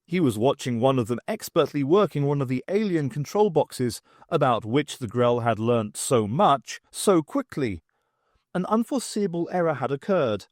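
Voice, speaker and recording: A man's voice: mid-range voice, expressive, moderate pace, a little mellow, no room sound, studio quality. A man reading scripted story narration as the narrator of an audiobook.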